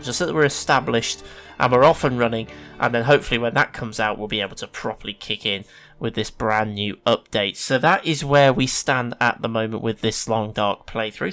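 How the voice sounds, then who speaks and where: A man's voice: medium pitch, a bit bright. An English man with a sniffly hay-fever nose gives casual, chatty, self-deprecating let's play commentary as a gaming host. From England.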